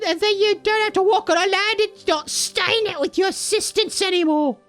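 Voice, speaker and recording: Shrill grumpy old village man, folksy and threatening. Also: sharp tone. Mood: menacing. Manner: snarling, rustic folksy speech.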